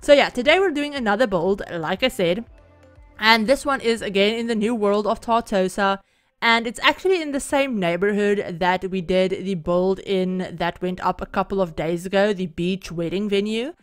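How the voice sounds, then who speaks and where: Chatty Afrikaans-speaking woman, rambling voiceover. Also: mid-range voice. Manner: rambling, chatty, many "like" and "yeah" fillers. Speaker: Sims speed-build YouTuber. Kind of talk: casual game voiceover. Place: home, at night.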